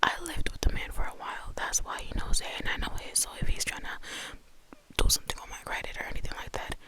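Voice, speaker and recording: A young woman whispering a casual, profane storytime at home, full of "like" and quick quoted asides. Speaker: vlogger.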